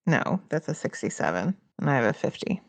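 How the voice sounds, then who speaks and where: A woman's voice: low voice, sing-song, fairly quick, gravelly, no echo. A woman chatting casually as a player in a tabletop role-playing game, thinking aloud about what her character does. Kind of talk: casual improvised game chat.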